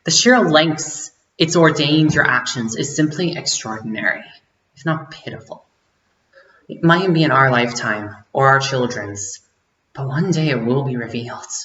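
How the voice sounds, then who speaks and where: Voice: mid-range voice, animated, moderate pace, fairly bright tone, lightly acted. Bitter revolutionary, played by an actor, theatrical and scornful monologue delivery, muffled sound in an echoey room. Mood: scornful, accusing. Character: bitter vengeful rebel gloating at a powerful leader. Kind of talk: dramatic stage monologue.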